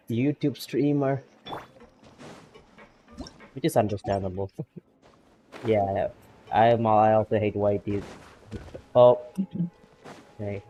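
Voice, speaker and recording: A man's voice: fairly low pitch, warm tone. A man casually chatting with viewers while streaming a game, laid-back and laughing often.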